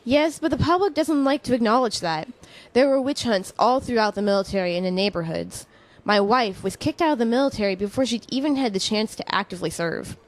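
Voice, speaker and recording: A woman playing a friendly, reflective middle-aged chemistry professor in an audio drama, speaking in a measured, explanatory way. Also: fairly high pitch, expressive, lightly acted, low-quality recording. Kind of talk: conversational audio drama acting.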